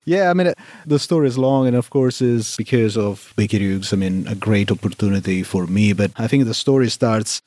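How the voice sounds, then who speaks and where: A man from Italy with an Italian accent, a guest on a podcast telling his story in lively, slightly halting English. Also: fairly low pitch, dry recording, clean studio sound. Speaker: guest, safety director. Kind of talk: casual interview chat.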